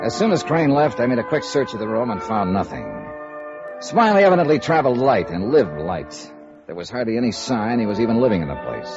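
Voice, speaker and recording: An actor as a wry, hard-nosed American radio detective. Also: male voice, mid-range voice, sing-song, a little fast, over music, lightly acted. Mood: tense, terse narration. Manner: sardonic, clipped, needling. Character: wry, tough, unflappable male insurance investigator. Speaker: lead actor narrating and playing an investigator. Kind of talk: 1950s radio noir acting. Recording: dull, noisy old radio recording.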